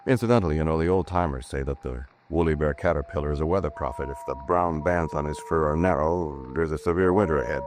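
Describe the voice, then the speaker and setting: A man's voice: rumbling low, fast, few pauses. A man acting in a 1940s radio drama as a laconic, musing scientist with a folksy way of explaining. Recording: noisy vintage 1940s radio recording.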